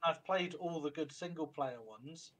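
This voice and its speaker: A man's voice: fairly high pitch, measured pace, a bit bright, low-quality recording. A man, co-hosting a gaming podcast in rambling, opinionated casual chat. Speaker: podcast co-host.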